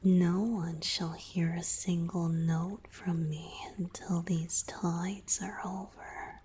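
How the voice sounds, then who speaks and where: A woman voicing a playful, romantic young merman boyfriend in a breathy, teasing ASMR whisper with soft hums. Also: on the low side, level tone, slow pace, a little hiss or hum. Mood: teasing, bashful.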